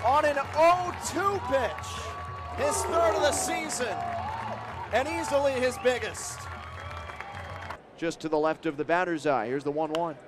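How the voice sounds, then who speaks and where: High-pitched American man calling live sports. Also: measured pace, long pauses, music in the background. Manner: clipped phrases, building to excited shouts. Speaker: radio play-by-play sportscaster. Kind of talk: live sports play-by-play commentary. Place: sports venue broadcast position. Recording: loud arena and crowd noise behind. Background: crowd and venue noise.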